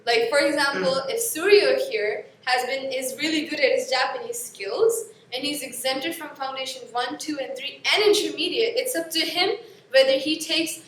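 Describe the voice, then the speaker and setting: A woman's voice: high voice, moderate pace, a bit bright, slight background noise. A young woman from Pakistan, a native Urdu speaker, presenting course registration to students in a classroom, chatty and often correcting herself. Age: young adult. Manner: chatty, explains with examples, self-corrects often. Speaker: student guide. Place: classroom in front of a screen. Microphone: far camera. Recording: echoey classroom from far off.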